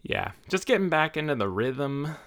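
A man's voice: a little high, sing-song, moderate pace, fairly bright tone. A man co-hosting a podcast, chatting casually in a self-deprecating, rambling way. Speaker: podcast co-host. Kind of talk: casual chat.

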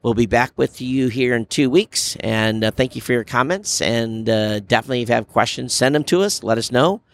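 A man hosting a podcast in a casual, chatty style, with halting phrasing. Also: mid-range voice. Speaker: podcast host. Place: booth in a very large trade show hall. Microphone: podcast microphone.

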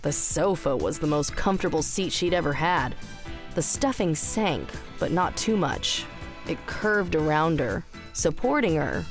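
A woman with a low voice narrates a piece of fiction. She reads from a script as the storyteller. Quiet music plays underneath.

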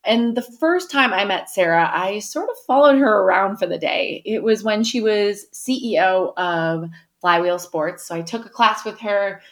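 A woman's voice: mid-range voice, normal speed. Chatty, upbeat woman hosting a podcast. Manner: upbeat, chatty, many "sort of" fillers. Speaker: podcast host. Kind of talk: podcast intro and interview. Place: my town.

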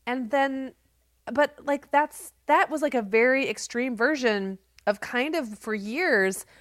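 A woman in her forties co-hosting a podcast, telling stories in a loud, animated, projecting voice. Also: high voice, slow, no echo, clean studio sound. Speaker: podcast co-host. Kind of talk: casual chat.

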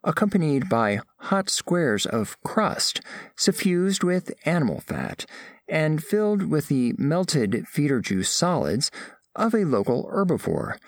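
Formal, lively-pitched alien scholar. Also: male voice, no echo, studio quality. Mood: formal, curious. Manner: formal, precise, clinical phrasing. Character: alien scholar envoy, formal, curious, earnest observer of humans. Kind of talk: scripted audio drama narration.

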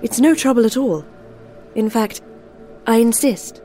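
An actress playing an eerily gracious mother who is polite, coaxing and quietly commanding in an audio play. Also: on the high side, a little slow, faint music behind, lightly acted. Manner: polite, coaxing, firmly insistent. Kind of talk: audio drama acting.

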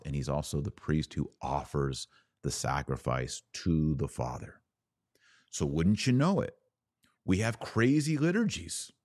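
A man hosting a religious podcast, preaching in an earnest, emphatic way with deliberate, broken-up phrasing. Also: very deep voice, darkish tone, no echo, studio quality. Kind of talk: preachy video commentary.

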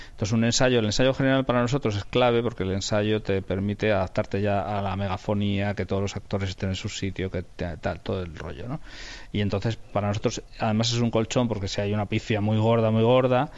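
His voice sits low, with the accent of Spain. He talks like a man among colleagues, colloquial and doubling back on phrases for emphasis. The recording is slightly dull, as in an informal interview. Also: darkish tone. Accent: Spanish (Spain). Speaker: radio drama producer and sound engineer. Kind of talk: casual interview conversation.

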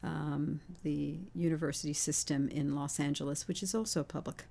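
A middle-aged woman from Haiti and New York, answering interview questions in a measured, explanatory, conversational way. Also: deep voice, steady tone, creaky, mellow. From born in Haiti, raised in Queens, New York. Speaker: interview guest, physician and university president.

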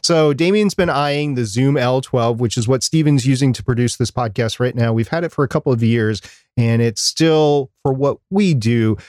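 A man's voice: mid-range voice, moderate pace, a little mellow, no echo, clean studio sound. A man co-hosting a podcast, giving practical advice in a casual, conversational way. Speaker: podcast co-host.

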